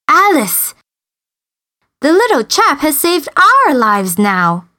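A woman's voice: sits high, huge pitch swings, slow, bright, forceful tone, no room sound, studio quality, pitched above the performer's own voice, full character voice. Woman doing a relieved exclaiming big man. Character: grateful big man of the house, roused.

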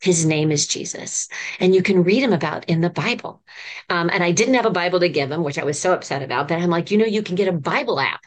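Mulher entusiasmada, voz um pouco grave. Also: female voice, on the low side, animated, fast talker. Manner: earnest, enthusiastic storytelling. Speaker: children's ministry professor, guest. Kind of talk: podcast conversation.

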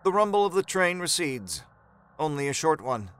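A man narrating a horror story in a measured, suspenseful, dramatic storytelling style. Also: on the high side, very animated, fairly bright tone, a little hiss or hum.

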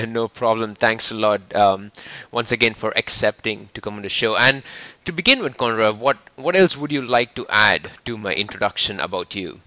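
A man's voice: lowish voice, expressive, normal speed, hardly any pauses, bright, forceful tone, dry recording. A man hosting an interview podcast in an upbeat way, often laughing. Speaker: podcast host. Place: lives in London.

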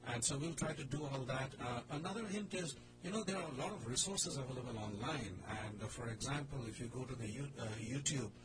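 A man casually hosting a drama club radio talk, halting as he goes. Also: mid-range voice, expressive. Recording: loud noise and hum.

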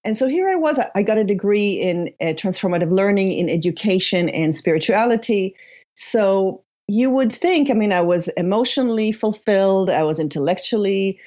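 A woman's voice: mid-range voice, lively intonation, moderate pace, a little mellow, lo-fi sound. A woman in her fifties from Israel with a slight Israeli accent, a podcast guest who tells her story reflectively, with restarts and fillers. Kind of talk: podcast interview.

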